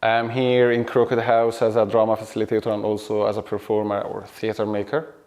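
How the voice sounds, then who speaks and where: A man, an Arabic-speaking actor and drama facilitator answering an interview question in a relaxed, friendly way, often repeating words. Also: fairly low pitch, brisk. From Egypt. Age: 30s.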